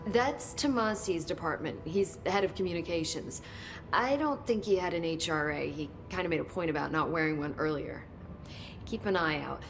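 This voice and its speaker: Low-voiced earnest female agency researcher played by an actress. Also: normal speed, music in the background, lightly acted. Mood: helpful, informative. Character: earnest, knowledgeable female government agency researcher, briefing her director. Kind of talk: video game cutscene acting.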